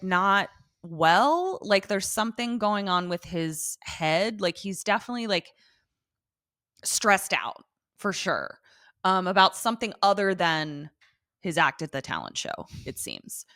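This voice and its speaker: A woman's voice: medium pitch, very animated, slow, fairly bright tone, no room sound, studio quality. A woman co-hosting a casual TV recap chat, talking in slangy, sweary, informal language. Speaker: podcast co-host.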